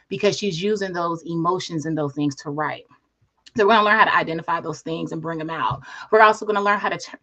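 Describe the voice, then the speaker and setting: Soothing woman presenter, lowish voice. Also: fairly bright tone. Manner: soothing, repeated "right?" tags. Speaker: workshop presenter. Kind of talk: online workshop presentation.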